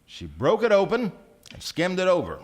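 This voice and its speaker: A man narrates a scripted story as dramatic radio theatre. His voice is fairly high, with lively, wide movement in pitch. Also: normal speed. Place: theatre stage, live performance.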